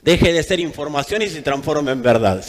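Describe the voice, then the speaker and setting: A man's voice: on the high side, animated, moderate pace. A man with an Argentine accent preaching a sermon in an emphatic manner, repeating phrases for effect. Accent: Argentine Spanish. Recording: long hall reverb.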